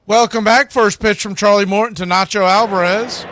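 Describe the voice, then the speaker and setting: A man's voice: sits high, steady tone, moderate pace. A man doing live radio play-by-play of a baseball game as the announcer. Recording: loud background noise behind the voice.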